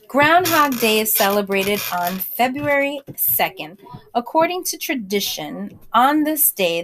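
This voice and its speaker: A woman's voice: mid-range voice, noisy background. A woman reading a picture book aloud to young children, expressively, with conversational asides and questions to the listeners.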